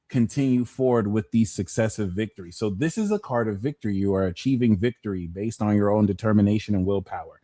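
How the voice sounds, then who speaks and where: A man's voice: medium pitch, dark tone, no echo. Slangy, joking male tarot host, dry close sound. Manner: playful, slangy, jokes between readings. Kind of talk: live pick-a-card tarot reading.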